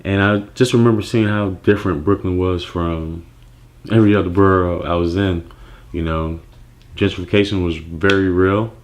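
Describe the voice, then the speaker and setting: A man from Nigeria, raised in the United States, telling his personal story as a clothing brand founder, reflective and relaxed with frequent fillers and restarts. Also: low-pitched, normal speed.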